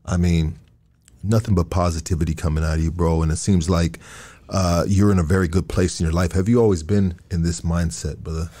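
A man hosting a casual interview, relaxed and calling his guest bro again and again. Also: deep voice, a little fast. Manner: relaxed, says bro constantly. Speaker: podcast host.

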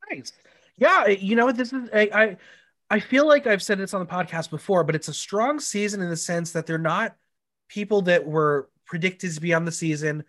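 A congested man hosting a podcast, chatty and animated, swearing casually. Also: high-pitched, moderate pace. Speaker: podcast host.

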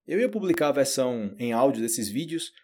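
A Brazilian man with a Brazilian Portuguese accent, giving a relaxed, chatty podcast lesson and addressing learners directly. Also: mid-range voice, a little fast. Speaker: Portuguese teacher. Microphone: solo audio recording.

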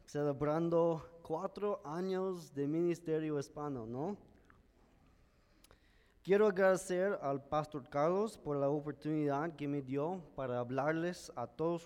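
A male pastor whose first language is English, preaching a sermon in a careful, measured way with an American accent. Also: a little high, a little mellow, smooth. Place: church sanctuary. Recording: church hall with long reverb.

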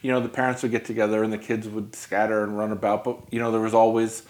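A man's voice: lowish voice, little pitch movement, quick pace, darkish tone. A man from New York hosting a podcast, chatting casually with a guest and dropping joking asides. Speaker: podcast host. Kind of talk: conversational interview.

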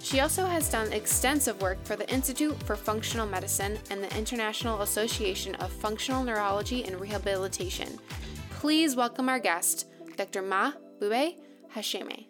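A woman's voice: mid-range voice, normal speed. A woman hosting a podcast, introducing guests and putting questions to them. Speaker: podcast host. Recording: music behind the voice.

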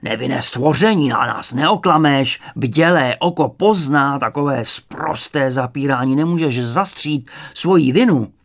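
Guy reading as nasty commie interrogator, shouting slogans, bullying, furious, audiobook villain. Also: medium pitch, lively intonation, natural voice. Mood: menacing accusation. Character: furious, fanatical male communist secret police interrogator, bullying.

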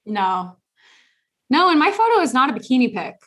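A woman co-hosting a podcast in casual chat, blunt and animated, swearing freely. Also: high voice, moderate pace. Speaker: podcast co-host.

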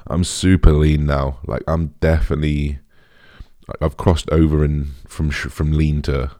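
A young British man with a deep, raspy voice, chatting casually as a podcast host in a rambling, informal way. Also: flat delivery, a little slow, mellow, dry recording, clean studio sound. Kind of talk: casual solo podcast chat.

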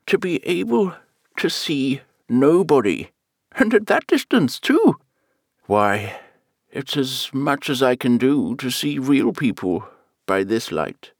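A fussy, fretful old storybook king, as a man reads him aloud. He drags his words out slowly and swoops through a wide, lively pitch range. The sound is dry and close. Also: no room sound, full character voice. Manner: fretful, slow and drawn out. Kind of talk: audiobook character reading.

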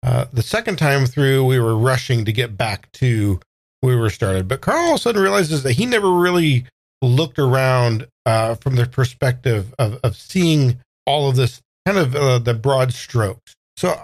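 Guy playing a tabletop rpg, medium voice, really dry sound, thinks out loud slowly and wordily. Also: medium pitch, lively intonation, no echo. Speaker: player in a tabletop game. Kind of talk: casual in-game talk, describing his character's actions.

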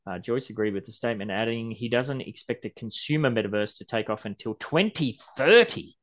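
A man's voice: medium pitch, lively intonation, fairly quick, fairly bright tone. A man in his forties co-hosting a podcast, casually reading news articles aloud and riffing on them. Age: 40s. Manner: reads quotes aloud then riffs on them. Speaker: podcast co-host.

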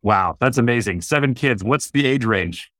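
Male podcast host, upbeat, animated intonation, dry close sound. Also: medium pitch, moderate pace, dry recording. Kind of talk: podcast interviewing.

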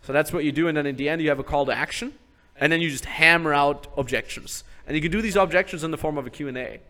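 A man hosting a podcast, giving casual business advice step by step. He keeps acting out sample sales pitches and checking in with "right?". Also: quick pace, sharp tone. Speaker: business coach host.

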